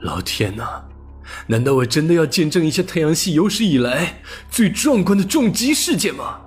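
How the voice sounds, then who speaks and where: A man's voice: on the high side, big pitch swings, normal speed, breathy edge, faint music behind, voice pushed up, full character voice. A man voicing an exasperated male space traveller in an audiobook, exclaiming and wailing complaints against fate. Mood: despairing. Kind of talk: audiobook character acting.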